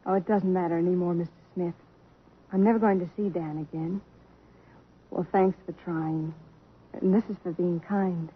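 A woman's voice: fairly low pitch, steady tone, moderate pace, pauses often, breathy edge. A woman playing an emotional young woman of about twenty in a 1950s radio drama. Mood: earnest. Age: 20s. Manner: emotional, pleading. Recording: thin phone-like old radio broadcast.

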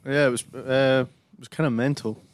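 Flat-voiced, laid-back male co-host. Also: mid-range voice, a little fast, no echo. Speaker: co-host and player. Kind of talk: casual sports podcast chat.

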